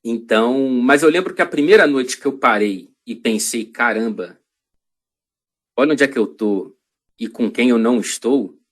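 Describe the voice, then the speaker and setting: A Brazilian man, a journalist guest on a podcast, telling a long story in a reflective, halting way with many restarts. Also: medium pitch.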